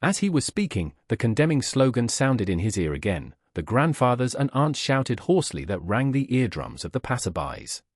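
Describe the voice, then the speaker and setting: A man reading a story aloud as scripted narration, with a husky voice. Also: mid-range voice, very animated, normal speed, hardly any pauses, no echo, clean studio sound. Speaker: audiobook narrator.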